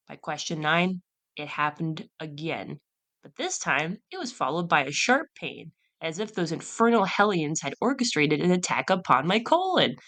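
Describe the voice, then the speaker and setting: A woman's voice: fairly low pitch, fairly bright tone. A woman hosting a solo podcast, reading aloud and chatting in a casual, storytelling way. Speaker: podcast host.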